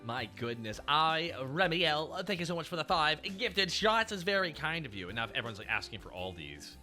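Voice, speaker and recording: A man's voice: on the high side, very animated, normal speed, sharp tone, soft background music. A man streaming as a VTuber, bantering casually with a co-host and slipping into silly accent impressions.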